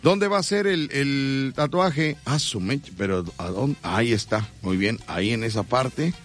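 A man's voice: medium pitch, unhurried, soft background music. A man with a Mexican accent hosting a live radio show, joking and teasing his guests. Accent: Mexican Spanish. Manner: teasing and jokey, often laughing mid-sentence. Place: radio studio.

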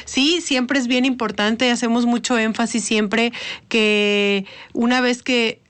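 A woman's voice: fairly high pitch, fairly even intonation, slow, a bit bright. A woman with a Mexican accent, a guest official on a radio interview. She explains patiently, repeats words as she thinks and often ends with "¿no?". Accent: Mexican Spanish. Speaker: studio guest, government official.

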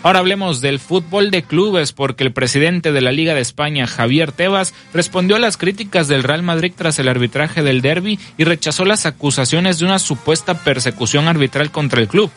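A man reading a scripted sports news bulletin as the anchor, in a brisk, measured newsreader delivery with time checks. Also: a little high, fairly bright tone, soft background music.

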